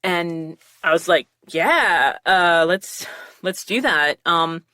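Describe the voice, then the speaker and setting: A woman's voice: lively intonation, slow pace, bright, forceful tone, dry recording. A woman guest on a podcast, chatting casually and rambling a little as she gives recording tips into a close mic. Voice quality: self-described low voice. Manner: rambling, chatty, with frequent fillers and laughs. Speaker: podcast guest talking voice acting. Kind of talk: casual commentary chat, giving recording advice. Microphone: close studio mic with headphones.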